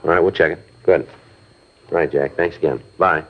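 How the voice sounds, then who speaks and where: An actor playing a stoic American police detective in a 1950s radio crime drama, clipped, deadpan and matter-of-fact. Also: male voice, extremely low pitch, moderate pace, background noise. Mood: businesslike. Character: stoic, businesslike male police homicide detective. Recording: dull old radio recording with music.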